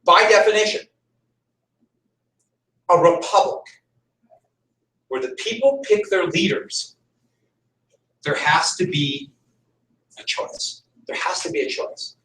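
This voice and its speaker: A man's voice: high voice, slow pace, long pauses, pushed and bright. A male history teacher giving a conversational classroom lecture, repeating key points for emphasis. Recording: echoey room, mic far off.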